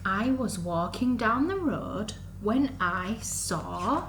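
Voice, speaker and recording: Female children's storyteller, unhurried and sing-song, exaggerated delivery for under-fives, recorded in an echoey room. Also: mid-range voice, lively intonation, fairly bright tone. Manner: sing-song, exaggerated, asks the listeners questions. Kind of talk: animated storytime reading to young children. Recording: echoey room, faint background noise.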